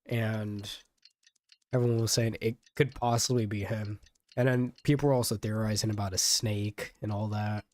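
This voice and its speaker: A man's voice: fairly low pitch, steady tone, normal speed, darkish tone, no room sound, clean studio sound. A young adult man giving casual, rambling livestream commentary on a game in slangy language. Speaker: game streamer. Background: game music.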